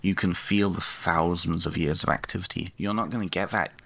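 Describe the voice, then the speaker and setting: A man's voice: low voice, steady tone, brisk, gravelly, sharp tone. A man talking reflectively in a casual podcast chat as the guest, explaining his ideas in long runs. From North America.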